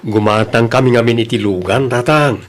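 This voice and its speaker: A man playing a patient, considerate husband and dutiful son in an audio drama, calm and reassuring. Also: medium pitch, normal speed, lightly acted. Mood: apologetic.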